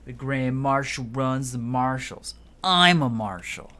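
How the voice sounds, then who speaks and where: A man voicing a curt, stubborn military guard in a video game, loud and officious. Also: mid-range voice, lively intonation, slow, voice pushed up.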